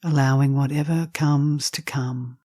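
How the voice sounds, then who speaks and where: A woman leading a guided meditation, speaking gently and softly in unhurried phrases with long pauses, with some breathiness. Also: very deep voice, steady tone, slightly rough, no echo, studio quality. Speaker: meditation teacher.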